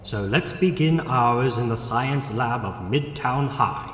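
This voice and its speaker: A man's voice: mid-range voice, expressive, slow pace, fairly bright tone. A man narrating a comic book drama in a scripted storytelling style, with long reverb and music underneath.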